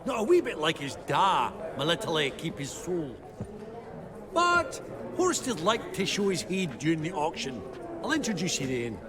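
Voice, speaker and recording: A shrewd, foul-mouthed banker from a fantasy game, in a Scottish accent. His voice is high and swoops around theatrically. A crowd murmurs behind his chummy bluster. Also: wildly swooping pitch, moderate pace. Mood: sly, genial. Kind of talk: video game voice acting.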